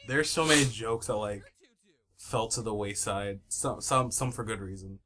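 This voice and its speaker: A man's voice: lowish voice, a little slow, crowd noise. A man reminiscing casually with friends as a voice actor on a commentary track. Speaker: voice actor from the series.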